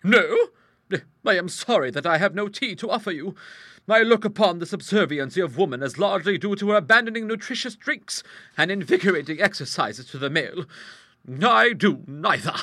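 A man voicing a brisk, outspoken middle-aged English aunt in a storybook, hearty, emphatic and used to commanding. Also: sits high, animated, sharp tone, no echo, clean studio sound, voice pushed up, full character voice. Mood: hearty, blunt. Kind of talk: storybook character voice in an audiobook.

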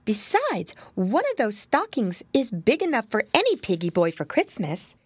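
A woman voicing a shrewd, bossy little mouse in a children's storybook, giving brisk instructions. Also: a little high, huge pitch swings, breathy edge, no room sound, full character voice. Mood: instructive.

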